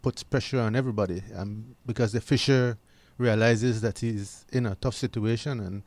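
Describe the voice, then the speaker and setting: A man giving unscripted opinions as a discussion guest, earnest and measured with halting phrasing. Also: on the low side, fairly even intonation, a little mellow, no room sound.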